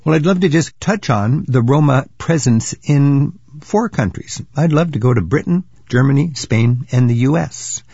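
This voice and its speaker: A man's voice: medium pitch, expressive, moderate pace, creaky, few pauses, warm tone, breathy edge, dry recording, dull audio. An older man hosting a travel radio show, chatty and curious, thinking aloud with fillers as he asks questions. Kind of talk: radio interview.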